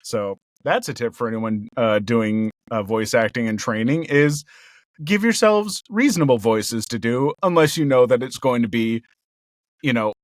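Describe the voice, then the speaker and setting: A cheerful, excitable man talking about his voice work in a relaxed interview. His voice sits at a middling pitch with a gravelly edge. The sound is dry and close, with no room around it. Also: normal speed, dry recording. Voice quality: speaks in a raised register with a gravelly undertone. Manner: cheerful and excitable, laughs often. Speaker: voice actor guest. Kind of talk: casual interview chat. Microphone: headphones and a home recording mic.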